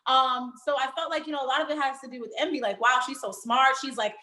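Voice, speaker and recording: A woman's voice: high-pitched, steady tone, fast, pushed and bright, roomy sound. A young woman from Haiti raised in Miami, talking animatedly and laughing often as an interview guest. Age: young adult. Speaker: guest, performer. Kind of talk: casual interview.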